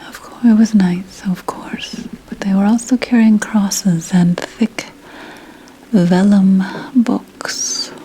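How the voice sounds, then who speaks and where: A woman voicing a 17th-century witch who tells of her coven in a breathy ASMR whisper, hushed and deliberate. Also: mid-range voice, fairly even intonation, slight background noise, natural voice, poor audio. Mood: ominous, hushed. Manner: slow, hushed, deliberate storytelling. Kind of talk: whispered ASMR roleplay storytelling.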